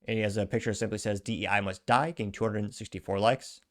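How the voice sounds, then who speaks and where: A man's voice: fairly low pitch, steady tone, fast. Male host, casual commentary, reads posts aloud, chatty asides. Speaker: show host.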